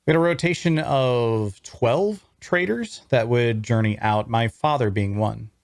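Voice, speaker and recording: A man playing a wry young traveler, telling his fantasy adventure in measured, dramatic first-person narration at a table read. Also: mid-range voice, no room sound, studio quality, close to an everyday voice. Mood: friendly, guarded. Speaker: voice actor.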